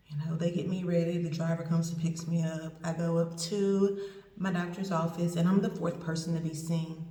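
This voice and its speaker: A woman's voice: lowish voice, warm tone. A woman with an East Texas accent, sore and swollen after surgery, rambling candidly in a casual video diary. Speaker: vlogger recovering from surgery. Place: indoor room with a door. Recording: echoey room, voice from far off.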